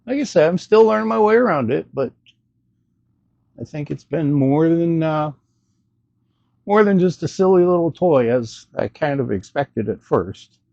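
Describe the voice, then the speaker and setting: A man hosts a casual gadget review with a gravelly, mellow voice. He rambles a little, hesitates and plays down his own expertise as he goes. The recording is close and dry, with a slightly dull sound. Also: a little high, expressive, unhurried, long pauses, dry recording. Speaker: host of a gadget review video. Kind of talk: casual unscripted product demo and review.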